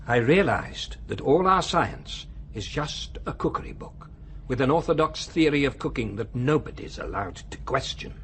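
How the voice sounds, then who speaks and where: An actor playing an urbane, cynical man of high authority in a radio drama, lecturing in a measured, condescending way. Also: a bit bright, a little hiss or hum. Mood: confiding. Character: male world ruler, urbane, coolly cynical, menacing authority.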